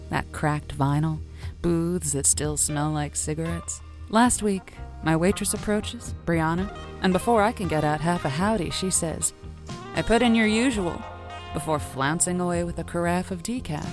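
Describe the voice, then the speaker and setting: Low-voiced, theatrical radio hostess played by an actress. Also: female voice. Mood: sultry, wry. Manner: theatrical, flirtatious, wry, drawn-out dramatic phrasing. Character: sultry, worldly, theatrical woman hosting late-night lonely hearts radio. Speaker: radio show host. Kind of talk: semi-improvised audio drama, late-night radio monologue.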